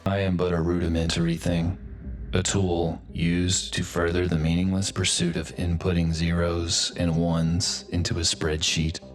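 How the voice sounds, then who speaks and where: An actor playing a pathetic, self-loathing office drone in a video game, speaking in a stilted, formal, morose deadpan. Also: male voice, sits low, unhurried, soft background music. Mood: despairing, deadpan. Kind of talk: video game voice acting, melodramatic monologue.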